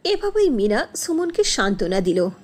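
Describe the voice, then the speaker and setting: A woman's voice: on the high side, big pitch swings, normal speed, breathy edge. A woman narrator reading a scripted fairy tale for a cartoon.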